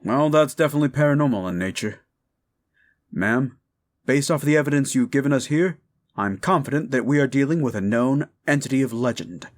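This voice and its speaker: A man's voice: medium pitch, animated, a little fast, close to an everyday voice. A man acting a confident, scholarly folklorist who explains things with assurance in an audio drama. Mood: grave, explaining.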